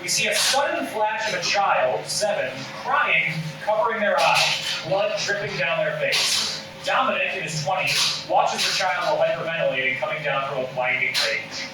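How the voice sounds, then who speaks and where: A man's voice: high voice, expressive, moderate pace. A man reading scene directions as scripted narration at a table read. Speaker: stage directions reader. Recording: roomy, distant mic with loud background noise.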